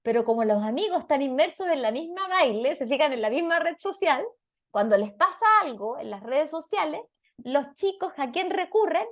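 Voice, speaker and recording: A woman's voice: sits high, lively intonation, moderate pace. A woman with a Chilean Spanish accent giving an explanatory talk as a parenting lecturer, didactic and often checking in with short questions.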